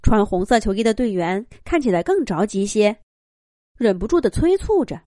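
A woman telling a children's story with gentle, expressive narration. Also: medium pitch, moderate pace, no room sound, studio quality.

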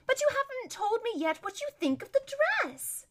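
Woman voicing a loud, gushing, high-pitched young socialite. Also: big pitch swings, normal speed, heavily acted. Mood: breezy, gossipy. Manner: loud, lazy drawl, gushing. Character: giggly vain young married noblewoman, gushing socialite.

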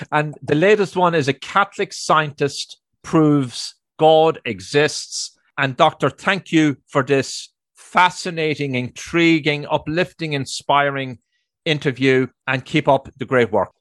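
A man with an Irish accent hosts an interview in a chatty manner and a middle pitch. He stretches out the words he wants to stress. The sound is dry and close. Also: slow, a bit bright, dry recording. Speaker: host. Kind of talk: interview hosting.

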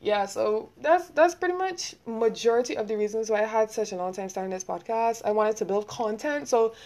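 A young woman with a Barbadian accent talking quickly and rambling, hosting a casual solo podcast and laughing often. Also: fairly high pitch, darkish tone. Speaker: podcast host.

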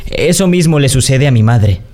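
An actor playing a nervous, polite young suitor in a radio drama, hesitant and formal. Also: male voice, medium pitch, expressive, normal speed, darkish tone, close to an everyday voice. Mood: awkward, polite.

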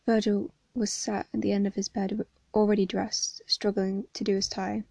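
Hoarse young woman reading a story. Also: medium pitch, flat delivery, moderate pace, darkish tone, no room sound. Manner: casual and chatty, with fillers. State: hoarse from hay fever. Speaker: fan fiction reader. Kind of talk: reading a story aloud with casual chat between chapters.

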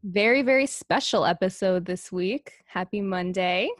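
A woman's voice: mid-range voice, moderate pace, no echo, clean studio sound. A woman co-hosting a casual podcast, upbeat and chatty. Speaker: podcast co-host.

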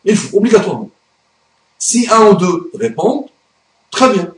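A man's voice: high-pitched, big pitch swings, moderate pace, a little hiss or hum. Guy ranting about religion on his channel, emphatic, echoey room. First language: Arabic. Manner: emphatic, indignant. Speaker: YouTube commentator. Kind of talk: religious commentary monologue. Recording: roomy echo.

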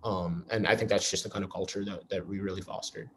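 A young man talks quickly in a lowish voice, rambling and restarting as he reflects in a casual interview. His delivery is earnest and loose. He piles clauses together rather than speaking in polished sentences. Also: steady tone, a bit bright. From New York. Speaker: interviewee, school alumnus.

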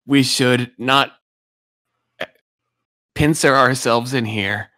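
Male player, slow and careful, smooth voice, dry sound. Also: medium pitch, steady tone, sharp tone, no echo. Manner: slow and deliberate, reasoning aloud. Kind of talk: casual tabletop play.

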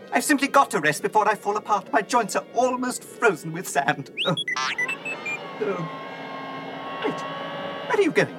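A man playing a fussy, anxious, self-pitying robot in a radio drama, prissy and theatrical in his complaints. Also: sits high. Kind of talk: dramatised radio science-fiction acting.

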